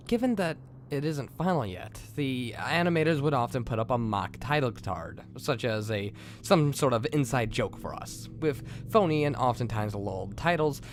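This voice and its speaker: A man hosting and narrating a scripted creepypasta horror story. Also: mid-range voice. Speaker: host and narrator.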